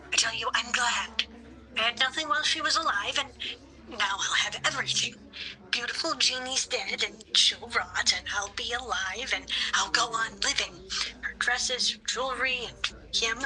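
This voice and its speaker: A woman's voice: fairly high pitch, moderate pace, bright, forceful tone, rough recording. A woman playing a bitter, jealous sister in radio horror drama, hissing out a gloating inner monologue. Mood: venomous gloating. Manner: venomous, hissed inner monologue.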